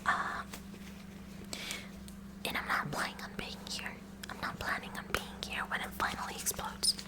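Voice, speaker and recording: A woman voicing a kooky, eager high school science club president in a whispered ASMR roleplay, rambling and halting in a nerdy way as she addresses the listener. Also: close to an everyday voice. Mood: earnest, eager.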